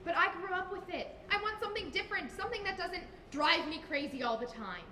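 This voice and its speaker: Granddaughter role: young woman, very high bright voice, earnest, exasperated, affectionate, stage comedy acting. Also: animated, brisk, heavily acted. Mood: earnest pleading. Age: young adult. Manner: earnest, loudly insistent to be heard. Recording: echoey room, faint noise.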